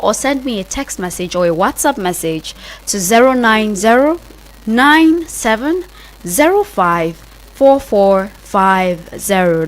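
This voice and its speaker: A woman's voice: mid-range voice, poor audio. A woman with a Nigerian accent hosting a live radio interview and phone-in, often affirming what others say. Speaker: radio host. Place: radio studio.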